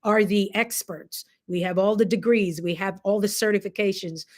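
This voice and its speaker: A woman's voice: mid-range voice, steady tone, normal speed, a little mellow. A woman with a Jamaican accent hosting a casual livestream, talking directly to viewers in a conversational, encouraging way. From Caribbean, Jamaica. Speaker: livestream host, coach.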